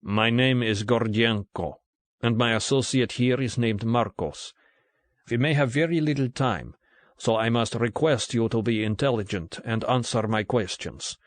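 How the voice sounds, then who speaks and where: A man voicing a tired, frightened middle-aged Russian agent who speaks formal, halting English with a thick Russian accent. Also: mid-range voice, dry recording, natural voice. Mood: tense, formal.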